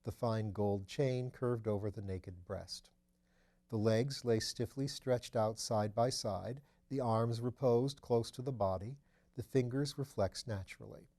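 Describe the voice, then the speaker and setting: A man reading a novel aloud as scripted literary narration, with measured, careful phrasing. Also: fairly low pitch, dark tone. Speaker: audiobook reader.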